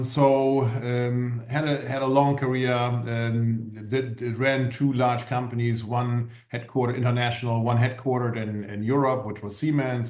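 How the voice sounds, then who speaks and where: A middle-aged man with a German accent, answering interview questions in a halting way, full of "you know" and restarts. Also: medium pitch, darkish tone. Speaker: guest, former executive. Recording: roomy echo.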